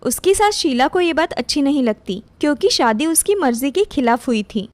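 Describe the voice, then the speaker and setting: A woman's voice: sits high, expressive, normal speed, dry recording. A woman reading a scripted moral story as its narrator.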